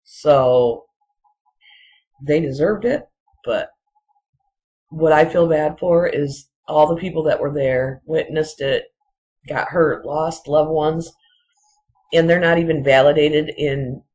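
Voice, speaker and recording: Casual solo commentator, medium pitch, unhurried and halting, insistent repetition, rambling delivery. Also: darkish tone, poor audio. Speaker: video commentator.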